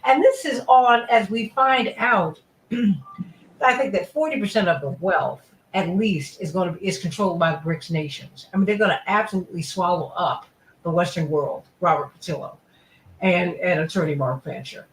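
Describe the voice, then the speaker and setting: A woman's voice: on the low side, moderate pace, reverberant, slight background noise, low-quality recording. A woman hosting a radio talk show, introducing guests effusively with frequent fillers.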